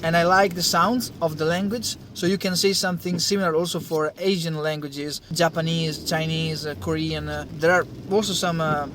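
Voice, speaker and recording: A young man with an Italian accent speaks in a fairly high voice, pausing often on fillers as he talks casually to camera. Street and traffic noise sits clearly behind him outdoors. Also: steady tone. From Italy. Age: young adult. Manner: hesitant, many uh fillers and restarts. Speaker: science channel host.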